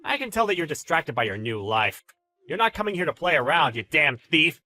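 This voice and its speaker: A smug bullying male boss, put on by a man for an acted story. His voice is high and swings widely, with a bright, forceful edge. He talks in taunting, slangy exclamations, full of contempt. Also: big pitch swings, fairly quick, no room sound. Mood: scolding, contemptuous. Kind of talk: dramatized story narration with character voices.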